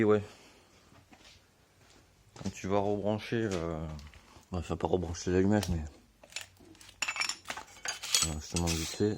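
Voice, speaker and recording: A hoarse man giving a relaxed, slangy how-to explanation full of fillers, in a home garage. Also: deep voice, a little hiss or hum. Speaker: motorbike hobbyist.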